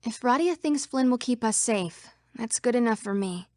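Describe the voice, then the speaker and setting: Picture a female computer program played by a woman in a video game. Her voice is high and swings widely in pitch as she delivers scripted lines. The sound is dry, with no room around it. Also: lively intonation, normal speed, darkish tone, dry recording, close to an everyday voice. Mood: uneasy. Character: female computer program citizens, by turns frightened, defiant or official.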